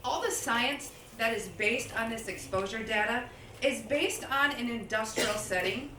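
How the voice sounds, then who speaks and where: A woman in her sixties, a toxicologist on a forum panel, who talks loudly and chattily and mixes her points with self-deprecating jokes. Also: a little high. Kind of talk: public forum talk. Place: large public hall. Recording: echoey hall, heard from a distance, audible noise.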